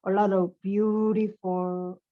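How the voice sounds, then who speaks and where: Korean-accented woman, halting speech. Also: medium pitch, little pitch movement. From South Korea. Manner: halting, searching for words, laughs often. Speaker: author and illustrator, guest. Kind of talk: casual interview. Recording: dull lo-fi sound.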